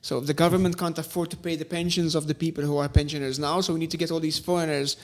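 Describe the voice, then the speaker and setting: A man's voice: on the high side, little pitch movement, quick pace. A man, talking casually and emphatically as a podcast guest, repeating phrases for stress. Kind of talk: casual conversational storytelling.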